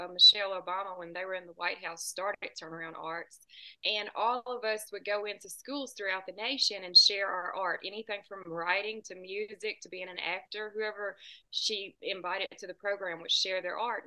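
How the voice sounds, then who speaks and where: A woman's voice: mid-range voice, poor audio. A woman with a Southern US accent, a singer-songwriter telling her story in an interview in an earnest, storytelling way. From Southern US, about two hours south of Nashville. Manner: warm storytelling, earnest and inspirational. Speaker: guest, singer-songwriter and children's author. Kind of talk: interview answers.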